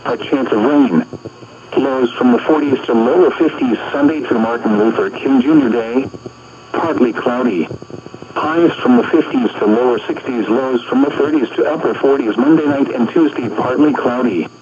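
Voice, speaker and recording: A synthetic male text-to-speech announcer reading a scripted weather bulletin over the radio in a measured, impersonal way, phrase by phrase. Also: medium pitch, a little mellow. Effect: weather radio broadcast. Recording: noisy muffled radio picked up by microphone.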